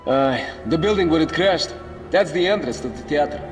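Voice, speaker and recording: An actor playing a gruff, excitable, foul-mouthed Russian survivor in a video game, with a Russian accent and slangy talk. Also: male voice, a little high, moderate pace, natural voice. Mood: wistful. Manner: slangy, swearing, urgent. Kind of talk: video game character acting. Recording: echoey hall, music or noise behind.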